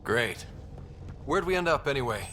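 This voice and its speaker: A man's voice: medium pitch, sing-song, normal speed. A man playing a young human explorer who commands a spaceship in a video game, plucky and wry. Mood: focused. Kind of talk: video game cutscene acting. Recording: loud music behind the voice.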